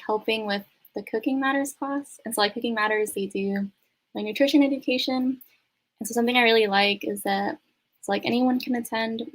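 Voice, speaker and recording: A woman's voice: mid-range voice, rough recording. A young woman on a youth panel speaking casually, with many "like" fillers. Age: young adult. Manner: casual, heavy use of "like". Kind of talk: panel sharing a story.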